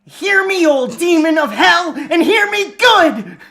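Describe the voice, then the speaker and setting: A woman's voice: extremely high pitch, big pitch swings, slow, gravelly, fairly bright tone, pitched above the performer's own voice, full character voice. A woman voicing a vengeful ten-year-old boy with a childlike voice, declaiming melodramatically in a fantasy story. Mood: vengeful, defiant. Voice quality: childlike kid voice. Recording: roomy sound, music behind.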